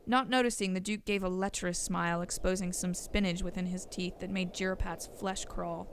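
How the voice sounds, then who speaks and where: A woman's voice: fairly low pitch, fairly even intonation, brisk. A woman reading scripted narration for an audio story, as its narrator. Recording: clear background noise and ambience.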